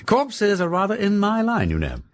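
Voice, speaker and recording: An actor playing a breezy, curious gentleman sleuth with an upper-class English accent and jaunty old-fashioned slang, in a radio drama. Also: high-pitched, wildly swooping pitch, normal speed.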